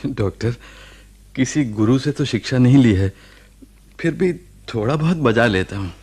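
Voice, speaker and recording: A devoted, open-hearted young husband, for a radio drama. His voice sits at an ordinary male pitch. He talks in an earnest, affectionate way. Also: unhurried, darkish tone, breathy edge. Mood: affectionate, playful. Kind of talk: Hindi radio drama acting.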